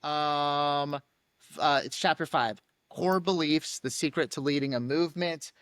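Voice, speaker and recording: A man's voice: on the high side, unhurried, fairly bright tone, dry recording. A man hosting a podcast, chatting casually and reacting with enthusiastic affirmations. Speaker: podcast host.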